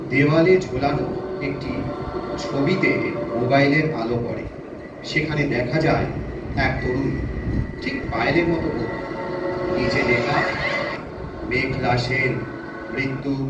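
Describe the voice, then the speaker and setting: Measured male horror narrator, heavy echo. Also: mid-range voice. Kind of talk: scripted horror story narration. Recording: noisy, very echoey, distant.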